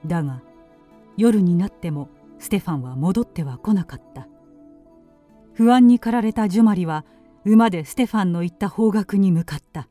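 A female narrator reads a scripted radio drama. Her voice is mellow and medium in pitch, with light music behind her. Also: expressive, normal speed.

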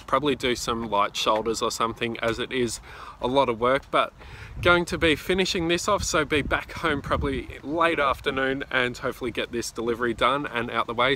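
A man's voice: mid-range voice, bright, forceful tone, a little hiss or hum. A man in his twenties with an Australian accent, chatting casually as a fitness vlogger. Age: 20s. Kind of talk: casual vlog chat and workout commentary.

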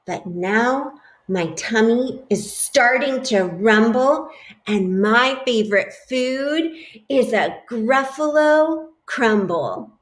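A woman doing a squeaky clever little mouse. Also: expressive, slow. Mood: sly. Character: small clever male mouse, bold and cheeky.

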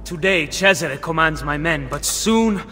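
A man's voice: fairly high pitch, unhurried, bright, forceful tone. A courtly Roman nobleman. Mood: courteous. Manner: courtly, polite. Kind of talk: video game cutscene dialogue. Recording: game audio with long reverb and music.

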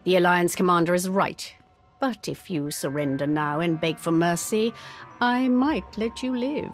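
A woman's voice: lowish voice, a little slow, faint music behind, close to an everyday voice. A woman playing a haughty, merciless warrior in a video game, imperious and commanding. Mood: haughty threat.

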